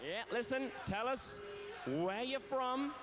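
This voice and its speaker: A man hosting a game show in an arena, shouting upbeat introductions to the crowd. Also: very high-pitched, lively intonation, takes it slowly. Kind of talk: loud arena presenting. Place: indoor arena. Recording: noisy arena.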